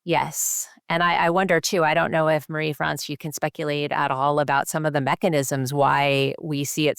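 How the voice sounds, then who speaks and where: A woman's voice: deep voice, gravelly, no echo, clean studio sound. A woman, a physician hosting a podcast interview, speaking in an engaged, affirming and conversational way.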